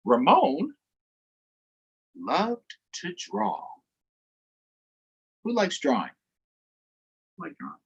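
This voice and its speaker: A man hosting a storytime for children, reading aloud and chatting directly to young viewers in an expressive way. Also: high voice, drawn-out words, creaky, pauses often, slightly rough, lo-fi sound. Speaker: storytime host, councilmember. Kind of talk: children's read-aloud and live chat.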